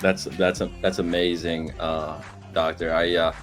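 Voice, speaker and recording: A man hosting a live interview show, introducing his guest in halting speech with frequent restarts. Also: deep voice, level tone, soft background music. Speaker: host.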